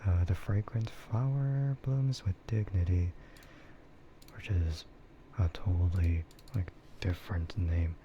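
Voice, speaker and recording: A man streaming soft, breathy ASMR chat, hesitant and unhurried, often dropping into a whisper. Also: low voice. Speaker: streamer, VTuber. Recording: muffled, lo-fi, clearly noisy.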